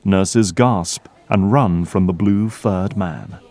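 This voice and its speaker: A man's voice: sits low, slow, darkish tone, dry recording, faint music behind. A man delivering dramatic scripted narration as the narrator of an audio drama.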